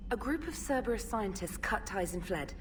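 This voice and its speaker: An actress playing an earnest, dutiful military communications specialist in a video game, reporting precisely and politely. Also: female voice, medium pitch, lively intonation, moderate pace, close to an everyday voice. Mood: earnest, focused. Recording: distant voice, clear ambient noise.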